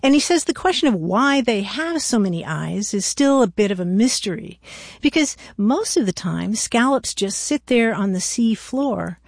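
A woman's voice: medium pitch, expressive, moderate pace, vocal fry, no room sound, studio quality. A woman co-hosting a radio show, talking in a conversational style with some reading from notes.

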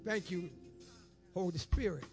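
A man in his seventies giving a fervent preaching testimony, repeating phrases rhythmically and breaking into shouts and half-sung lines. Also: sits high, unhurried, music in the background. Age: 70s.

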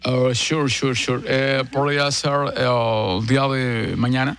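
A man playing a polite, bumbling American businessman who speaks halting, broken Spanish full of fillers and slips into English. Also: mid-range voice, steady tone, a bit bright. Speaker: prank caller.

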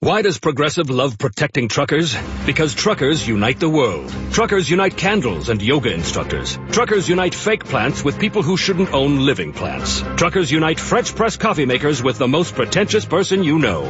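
A man reading a comedic radio commercial in a lively, wry way. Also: fairly high pitch, moderate pace, highs cut off. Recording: radio ad over clear music.

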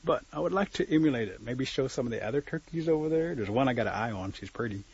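A man voicing a vain, sly male turkey in a folk tale, with a coaxing, folksy, husky delivery. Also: medium pitch, animated, fast, a little mellow. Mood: admiring, wheedling. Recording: dull, muffled lo-fi recording.

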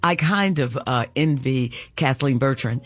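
A woman, telling stories and urging listeners to give during a radio fundraiser. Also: unhurried. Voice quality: low contralto voice. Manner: warm storytelling, laughs often, urges listeners to give. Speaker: guest co-host of a radio fundraiser. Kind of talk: casual radio chat.

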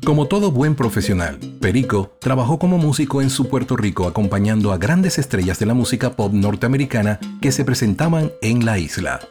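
A man's voice: medium pitch, expressive, a little fast, few pauses. A man delivering scripted documentary narration as the presenter of a music program.

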